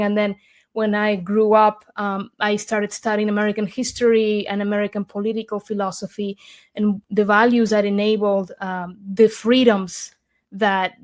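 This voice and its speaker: A young woman from Argentina, a guest in an interview, speaking earnestly and from the heart, with many fillers and restarts. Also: medium pitch. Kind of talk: conversational interview.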